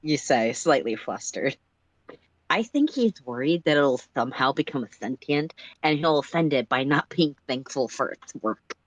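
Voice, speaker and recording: A person reading a story aloud on a livestream in an animated way, sometimes stumbling and slipping into casual asides. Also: medium pitch, normal speed, no echo, highs cut off.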